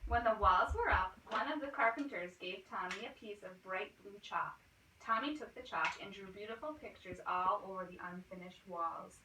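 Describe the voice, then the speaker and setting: A woman's voice: mid-range voice, moderate pace. A roomy, noisy recording of a woman reading a children's picture book aloud from a little way off the mic. She tells it expressively, like a teacher, and speaks directly to the children listening. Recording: roomy, distant mic, clear noise and clicks.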